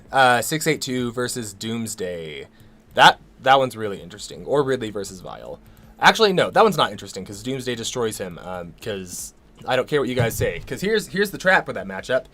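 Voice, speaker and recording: A man with a tired, hoarse voice, worn down by a cold, chatting casually on a livestream. He rambles, fills pauses with ums and trails off before finishing thoughts. His pitch sits at an ordinary middle for a man. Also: big pitch swings, a bit bright. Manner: rambling, many ums and restarts. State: losing his voice, a little sick. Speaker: co-host of a livestream Q&A.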